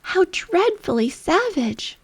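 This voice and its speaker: A woman's voice: very high-pitched, sing-song, takes it slowly, no echo, pitched above the performer's own voice, full character voice. A woman voicing a polite, earnest, curious young girl in a storybook. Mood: alarmed, pleading.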